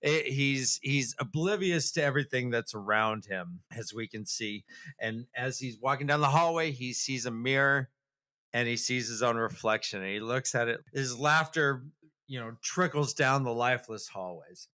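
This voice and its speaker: A man co-hosting a casual, comedic podcast, retelling a story in an animated, jokey and halting way. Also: medium pitch. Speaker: podcast co-host.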